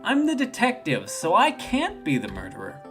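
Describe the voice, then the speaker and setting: Man acting a boy fox detective, cartoon game hero, high-lifted voice, earnest, keen, polite. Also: huge pitch swings, moderate pace, a bit bright, faint music behind, heavily acted.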